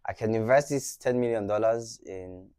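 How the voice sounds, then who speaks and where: Young man, from Burundi, native French speaker, low voice, animated, explaining venture investing. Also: moderate pace, clean tone. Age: young adult. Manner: animated, acts out dialogue with many "right?" checks. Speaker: venture capitalist guest. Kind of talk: explanatory conversation.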